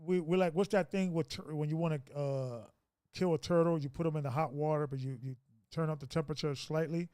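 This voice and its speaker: A man's voice: a little high, steady tone, brisk, mellow, clear, resonant tone, no room sound, clean studio sound. A man in his fifties hosting a casual group discussion, preachy and rambling, with many restarts. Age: 50s.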